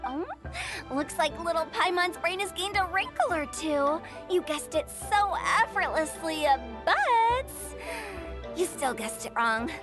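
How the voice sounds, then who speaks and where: Mischievous game girl, really high voice, teasing and theatrical, bit of music behind. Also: animated, a little slow. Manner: teasing, flowery, theatrical delivery. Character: playful, mischievous, theatrical young girl poet and gala co-host. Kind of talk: animated video game dialogue.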